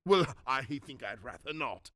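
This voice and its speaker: A man's voice: mid-range voice, big pitch swings, unhurried, bright, forceful tone, pitched below the performer's own voice. A man playing a frightened, stubborn old miser in a radio drama, stammering and flustered. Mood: nervous, pleading.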